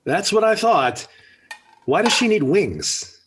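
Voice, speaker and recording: A man's voice: high-pitched, very animated, slow pace, fairly bright tone, a little hiss or hum, full character voice. An actor playing a stern, sardonic middle-aged father in a 1940s radio sitcom. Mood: dryly puzzled. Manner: stern, dry, put-upon. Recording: vintage 1940s radio broadcast.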